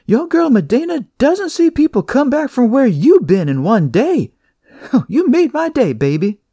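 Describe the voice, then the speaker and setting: A man acts out a big motherly nurse in an audio story. The voice is pitched high and swoops about, exclaiming with delight and showering endearments. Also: sing-song, a little slow, dark tone, no room sound, clean studio sound, exaggerated voice. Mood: warm, coaxing. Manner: effusive, exclaiming, full of endearments.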